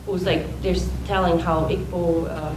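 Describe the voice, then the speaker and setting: A woman in the audience of a lecture, commenting at length with halting restarts. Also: lowish voice, steady tone. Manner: halting, many restarts and fillers. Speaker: audience member. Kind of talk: question-and-answer comment. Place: lecture room. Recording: echoey room, distant, noisy.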